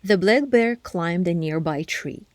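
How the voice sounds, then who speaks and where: A woman narrating a children's story aloud expressively, with deliberate phrasing and hushed, breathy word endings. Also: on the low side, expressive, no echo. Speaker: storybook reader.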